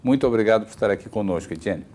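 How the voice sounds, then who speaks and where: A man's voice: on the low side, animated, racing, darkish tone, a little hiss or hum. A man interviewing a guest, introducing him formally and hesitating often with fillers.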